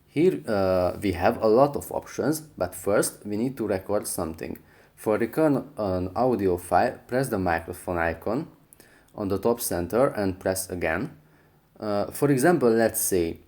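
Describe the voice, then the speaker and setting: Low-voiced man narrating a tutorial. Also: a little mellow. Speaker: tutorial host. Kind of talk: scripted how-to narration.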